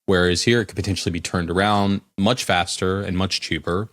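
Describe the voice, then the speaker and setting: Low-voiced man explaining on a podcast. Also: fairly even intonation, fairly quick, fairly bright tone, dry recording, studio quality. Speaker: podcast co-host. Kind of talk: casual tech chat.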